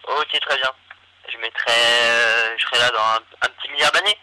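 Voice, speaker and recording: A man's voice: mid-range voice, steady tone, normal speed, bright, forceful tone. A man chatting and joking on a phone line, in a hesitant, teasing manner. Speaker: prank call recipient. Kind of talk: casual joking phone chat. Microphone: telephone. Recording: telephone line on a radio broadcast.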